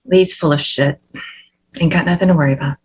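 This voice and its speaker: Brash hard-drinking mother played by a woman, husky and slightly low, foul-mouthed, doting, defensive. Table read drama. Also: steady tone, fairly quick, a little mellow, poor audio.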